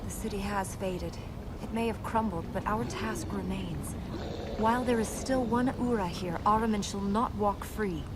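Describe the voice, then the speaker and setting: Proud game princess, young female actor, mid-pitched, solemn and devout, formal delivery, very loud noise behind. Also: normal speed, lightly acted. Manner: grave, formal, resolute. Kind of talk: video game voice acting. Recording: noisy game capture, loud effects behind.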